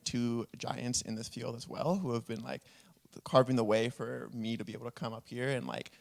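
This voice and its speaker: A man presents at a conference in a conversational, earnest manner. His voice sits at a middling pitch with a good deal of gravelly fry. He comes across as humble, like a panelist sharing what he has learned. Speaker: food rescue nonprofit speaker, panelist.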